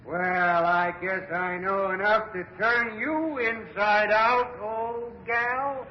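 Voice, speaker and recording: Echoey, noisy vintage radio, defiant folksy Yankee, American, slow drawl, stage comedy acting. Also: male voice, high-pitched, steady tone, dull audio. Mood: mocking, defiant. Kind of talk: theatrical stage comedy within radio drama.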